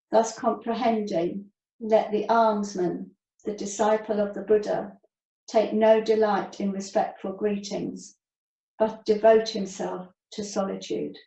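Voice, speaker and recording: A woman reading scripture aloud over a video call, deliberately and phrase by phrase. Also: medium pitch, gravelly, warm tone. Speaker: reader, member of a Buddhist community. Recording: dull, roomy video-call audio.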